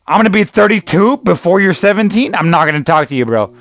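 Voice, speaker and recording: A man around thirty with a voice pitched a little high, chatting casually with online friends during a game. He keeps it loose and teasing, tossing out "dude" and "bro" and the odd swear word. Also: expressive, quick pace, a bit bright. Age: 30s. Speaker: gaming streamer.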